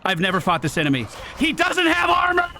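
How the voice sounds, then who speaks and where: A man's voice: extremely high pitch, wildly swooping pitch, a little fast, noisy background. A man giving casual video game commentary, playfully thinking aloud and repeating his phrases. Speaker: gaming video host.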